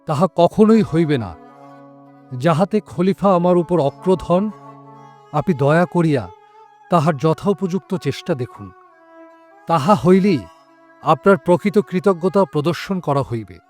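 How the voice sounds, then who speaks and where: A man's voice: fairly high pitch, lively intonation, measured pace, long pauses, darkish tone, soft background music. A man playing a selfless, principled captive in an audio drama, formal and resolute. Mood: resolute, earnest. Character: selfless, principled male captive, dignified and grateful. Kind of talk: Bengali audio drama acting.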